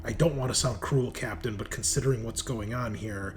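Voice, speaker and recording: A man's voice: medium pitch, faint music behind, lightly acted. A man playing a flustered, apologetic doctor who stammers and hedges in a tabletop game. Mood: hesitant, apologetic. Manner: halting, stammering, hedging. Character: flustered male doctor, hesitant, apologetic, bluntly practical. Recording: clearly noisy background.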